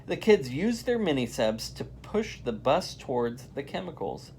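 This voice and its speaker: A volunteer storyteller reading aloud to children in an animated style. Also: medium pitch, a little slow, gravelly, slight background noise.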